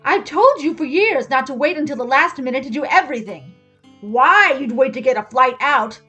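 A woman's voice: high voice, lively intonation, moderate pace, sharp tone, soft background music, pitched above the performer's own voice. Woman putting on a condescending, scolding grandmother.

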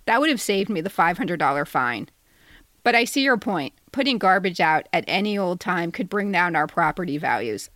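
A woman's voice: on the low side, lively intonation, normal speed, a bit bright, no echo, studio quality. A woman in her fifties reading a personal satirical letter aloud in a wry, mock-polite way, as a podcast co-host. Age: 50s.

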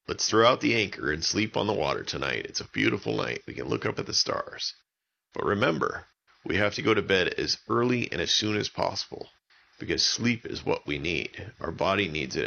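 A man's voice: deep voice, very animated, fairly quick, creaky, bright, forceful tone, highs cut off, full character voice. A man voicing a kindly, cheerful pirate captain in a children's story, with earnest, simple, repetitive lines. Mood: gently instructive. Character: kindly, cheerful pirate captain looking after his crew.

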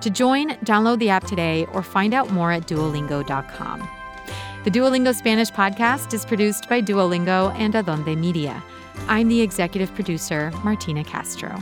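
A woman hosting a podcast, reading a scripted story in a measured, clear storytelling voice. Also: mid-range voice, lively intonation, music in the background. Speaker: podcast host and narrator. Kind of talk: scripted narration.

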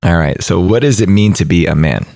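A man hosting a podcast interview, speaking in a hoarse, raspy voice in a reflective, deliberate way. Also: low voice, animated, darkish tone, no room sound. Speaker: podcast host.

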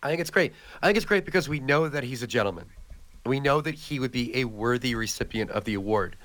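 A man with a hoarse voice from a cold, co-hosting a podcast in casual chat with many fillers. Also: mid-range voice, expressive, quick pace, a bit bright, no room sound. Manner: chatty, joking, many "like" fillers. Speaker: podcast co-host.

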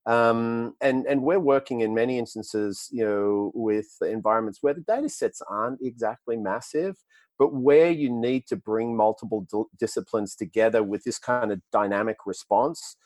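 A man's voice: mid-range voice, moderate pace, a little mellow, no echo. A man with an Australian accent, answering questions as a tech company executive, often restarting and repeating words. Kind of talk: podcast interview answers.

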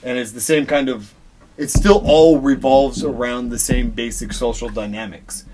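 A man talks in a loose, conversational podcast style. His pitch sits in the middle of the male range. He rambles and digresses, with offhand swearing thrown in. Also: steady tone, moderate pace, background noise. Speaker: podcast co-host. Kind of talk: casual rambling podcast chat.

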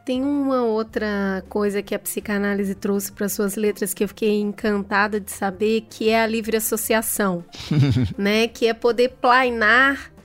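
A woman's voice: mid-range voice, unhurried, a bit bright, faint music behind. A woman hosting a podcast, asking her guest a question with an enthusiastic manner. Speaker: podcast host. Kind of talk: interview question.

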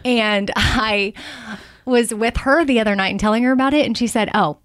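A woman in her forties hosting a podcast, chatting casually, laughing often and slowing down when she reads something aloud. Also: a little high, smooth, no room sound. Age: 40s. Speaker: podcast host.

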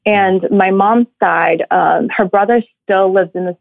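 A woman in her late twenties from Syria, speaking softly and hesitantly as a guest, recorded over a phone line. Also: mid-range voice, fairly even intonation, a little slow, gravelly, dry recording. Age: late 20s. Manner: soft-spoken, hesitant, many ums. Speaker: guest caller. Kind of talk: casual interview chat.

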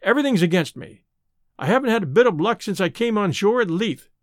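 A man voicing a rough, surly vagrant who whines and complains. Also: sits high, expressive, brisk, no echo, studio quality. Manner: rough, whining. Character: surly down-on-luck man faking a rough persona.

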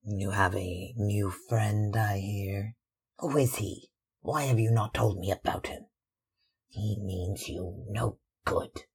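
A sullen young man, blunt and suspicious, speaks curtly in a husky voice, as a man reads him. The delivery is slow and weighty. It is a storybook reading. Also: fairly low pitch, heavily acted. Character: sullen, brooding young man about to leave home.

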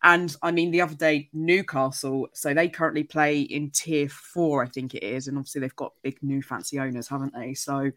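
A woman's voice: low voice, steady tone, normal speed, few pauses, fairly bright tone. A woman talking as a guest on a football podcast, hedging her views with many restarts and self-corrections. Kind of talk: casual football podcast chat.

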